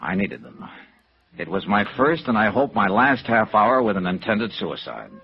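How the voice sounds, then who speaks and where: An actor playing a terse, hardboiled yet compassionate American insurance investigator in 1950s radio noir, with clipped dramatic delivery. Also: male voice, lowish voice, big pitch swings, moderate pace, creaky, slightly rough, heavily acted. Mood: wry, matter-of-fact. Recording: dull vintage radio recording with music.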